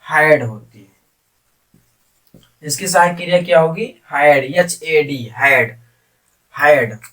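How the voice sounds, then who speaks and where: Higher-pitched man teaching, off-mic. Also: takes it slowly, fairly bright tone. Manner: repetitive, question-and-answer teaching, addressing students. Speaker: English grammar teacher. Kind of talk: classroom-style grammar lesson in Hindi with English terms. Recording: distant microphone.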